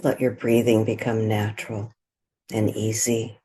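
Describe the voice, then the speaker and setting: A woman leading a guided meditation in a gentle, soothing way, with a soft, breathy voice and long pauses between short phrases. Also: extremely low pitch, little pitch movement.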